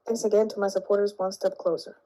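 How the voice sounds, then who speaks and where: A woman's voice: medium pitch, flat delivery, fast, dark tone, a little hiss or hum, low-quality recording. A congested woman talking bluntly and dismissively in a casual vlog.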